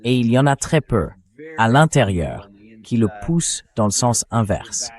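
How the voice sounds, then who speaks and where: A man's voice: medium pitch, very animated, a little slow, a little mellow. A man reading a translated voice-over as the host of a political interview, commenting and leading into questions.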